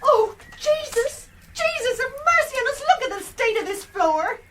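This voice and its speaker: A woman's voice: extremely high pitch, big pitch swings, normal speed, background noise. An actress playing a harried, long-suffering village mother in a radio drama, wailing and melodramatically exasperated. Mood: aghast.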